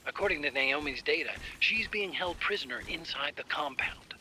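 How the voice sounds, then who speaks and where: A man's voice: fairly high pitch, lively intonation, normal speed, pushed and bright, a little hiss or hum. A man voicing an earnest support partner giving an informative mission briefing in a video game. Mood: serious, focused.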